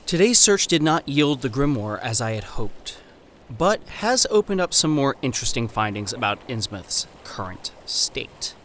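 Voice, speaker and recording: A man playing a wary young anthropologist in a horror audio drama, reading his letters in formal, precise, measured diction. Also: mid-range voice, very animated, a bit bright, slight background noise. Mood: intent, troubled.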